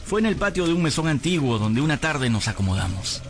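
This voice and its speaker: A man's voice: on the high side, sing-song, fairly quick. An actor playing a poor, hungry servant boy who tells his misfortunes in a plaintive, theatrical way for a radio drama. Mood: rueful storytelling. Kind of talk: dramatic first-person radio narration. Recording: music bed behind voice.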